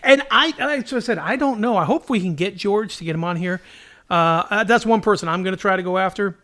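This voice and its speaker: A man's voice: sits high, brisk, a bit bright. A man co-hosting a casual podcast chat, talking in an enthusiastic, slangy, self-deprecating way. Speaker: podcast co-host. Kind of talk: casual chat about superhero shows.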